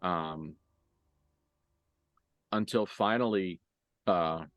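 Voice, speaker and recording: A man improvising narration as a game master for a horror tabletop game, conversational and halting, with graphic detail. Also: low-pitched, dry recording.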